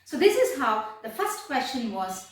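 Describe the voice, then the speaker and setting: Female teacher, lively swooping intonation, explanatory storytelling, recorded distant in a very echoey room. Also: high-pitched. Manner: deliberate, repeats phrases for emphasis. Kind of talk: lesson retelling a play.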